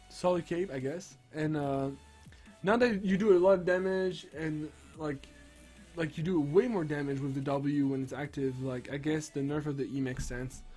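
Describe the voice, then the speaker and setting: A young man with a sick, strained voice, rambling excitedly through game patch notes with lots of restarts. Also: fairly high pitch. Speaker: gaming streamer. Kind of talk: casual patch-notes commentary.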